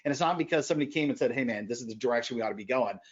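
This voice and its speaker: A man talks as a podcast guest in an energetic, emphatic way. He pushes some phrases out loudly and drops others into quieter asides. The audio sounds a little dull and lo-fi, and his voice sits at a middle pitch. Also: rapid-fire. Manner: energetic, emphatic, swings between loud bursts and quiet asides. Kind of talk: animated conversational interview.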